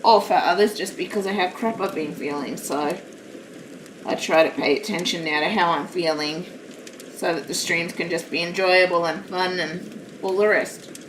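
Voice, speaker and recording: Woman, loud voice, lowish pitch, rambling casual chat, distant mic, steady hiss. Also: moderate pace. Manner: rambling, chatty, self-deprecating. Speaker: live-streaming craft hobbyist. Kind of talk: casual chat while crafting. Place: at home. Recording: roomy, distant mic, steady background noise.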